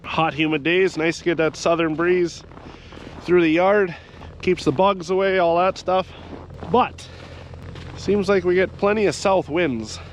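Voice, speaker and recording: A man's voice: high-pitched, fairly even intonation, measured pace, pauses often, slight background noise. A farmer with a head cold, talking casually about his chores while working outdoors and in a tractor cab. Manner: plainspoken, rambling, explains farm chores. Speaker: farmer vlogger.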